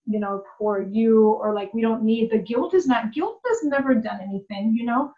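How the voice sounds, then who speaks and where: A woman's voice: fairly high pitch, moderate pace, phone-quality sound. Impassioned woman life coach. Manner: impassioned, repetitive, many "like"s. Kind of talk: casual conversation.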